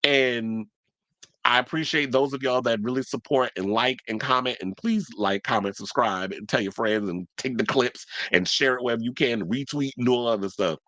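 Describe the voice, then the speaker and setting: A man's voice: mid-range voice, moderate pace, pushed and bright, dry recording. A man hosting a celebrity gossip show, talking in a blunt, chatty way, with a stuffy, congested voice. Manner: chatty, blunt, swears freely. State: congested from allergies. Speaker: celebrity gossip podcast host. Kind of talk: casual solo commentary.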